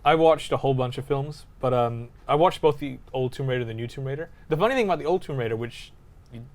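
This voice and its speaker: A man co-hosting a podcast, discussing films casually and telling anecdotes in an informal, animated way. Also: medium pitch, fast. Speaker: podcast co-host.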